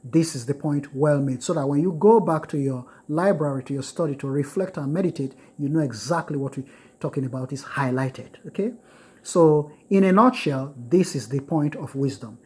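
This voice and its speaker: A man giving an informal lecture, earnest and repetitive, with many filler pauses. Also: on the high side, warm tone, husky. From United States. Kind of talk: informal recorded lecture on Buddhism.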